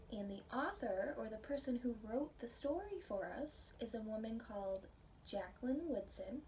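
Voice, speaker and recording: An American woman, a pre-K teacher, reading a picture book aloud and explaining it simply to young children in a gentle, child-directed way. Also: a little high. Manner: gentle, child-directed, asks the listeners questions. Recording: distant mic, faint background noise.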